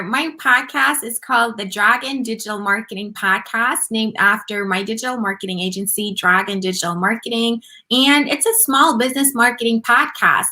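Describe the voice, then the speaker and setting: Bright-voiced woman guest from Germany. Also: on the high side. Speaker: podcast guest, marketing agency founder. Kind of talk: casual interview talk.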